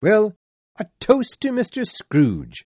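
A man voicing a gentle, meek poor clerk and father who speaks soothingly. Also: sits high, wildly swooping pitch, slow pace, no echo, voice pushed up, heavily acted. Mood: cheerful.

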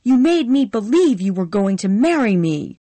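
A woman voicing a hot-tempered young woman who lashes out in sharp, angry bursts. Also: mid-range voice, lively intonation, normal speed, husky, no echo, pitched above the performer's own voice. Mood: furious. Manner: sharp, furious, shouting. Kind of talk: audiobook character reading.

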